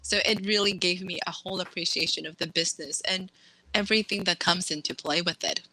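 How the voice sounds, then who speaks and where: A woman from Massachusetts, an author being interviewed, talking in a lively way and laughing as she acts out quotes. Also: lowish voice, fairly quick, no room sound, poor audio. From Massachusetts, USA. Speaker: author guest. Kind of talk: casual interview chat.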